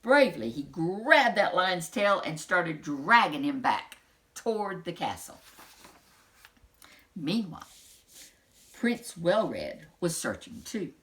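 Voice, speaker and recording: A woman reading a children's story aloud in an expressive storytelling style, with dramatic emphasis and casual asides. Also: lowish voice, slow pace, pauses often.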